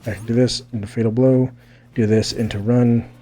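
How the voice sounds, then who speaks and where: A man's voice: mid-range voice, steady tone, moderate pace, warm tone, a little hiss or hum. A man giving a casual fighting game tutorial, thinking aloud in a rambling way and often checking in with "right?". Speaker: gaming video creator explaining techniques.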